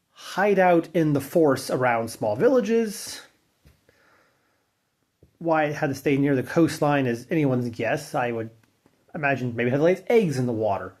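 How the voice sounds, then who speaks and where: Wry man telling folklore, lively pitch. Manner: wry and offhand, with mild swearing; loose delivery with fillers and restarts. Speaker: narrator and channel host. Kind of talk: casual spoken folklore narration.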